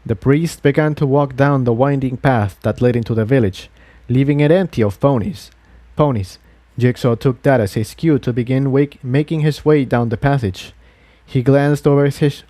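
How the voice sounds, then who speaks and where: Guy narrating a fantasy story, mid pitch voice, really dry recording with no room sound. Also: dark tone, dry recording. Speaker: fan fiction narrator.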